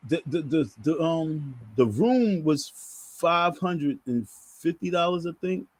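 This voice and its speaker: A man's voice: fairly high pitch, animated, takes it slowly. A man giving preachy casual commentary to his viewers, emphatic and repetitive in a sermon-like way. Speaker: online commentator.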